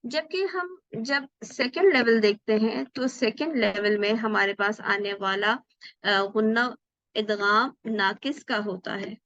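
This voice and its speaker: A woman with a somewhat high voice teaches an online class, patiently walking through each rule and pausing to ask rhetorical questions. The sound is dull and dry, as over a video call. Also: dry recording. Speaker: Quran recitation teacher. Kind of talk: online class lesson explaining tajweed rules.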